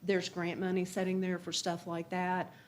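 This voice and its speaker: A woman with a big, slightly low voice talks earnestly and folksily to a planning board. She is in a public meeting room, with faint noise under the recording. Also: completely flat delivery, normal speed.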